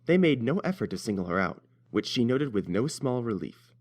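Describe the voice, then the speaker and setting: A man's voice: mid-range voice, sing-song, fairly quick, a little mellow. A man narrating a scripted story as its reader.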